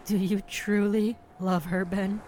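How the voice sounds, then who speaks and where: A woman's voice: mid-range voice, little pitch movement, slow, a little mellow, a little hiss or hum, natural voice. A woman playing a fierce, protective, vengeful mermaid sister in an audio drama, with an imperious, threatening delivery. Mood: grave, urgent.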